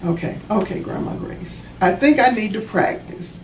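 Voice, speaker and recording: A woman's voice: mid-range voice, expressive, normal speed, natural voice. Woman reading as an earnest young child, roomy sound. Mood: hesitant, earnest. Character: earnest young child learning to speak kindly. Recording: roomy, distant mic, faint noise.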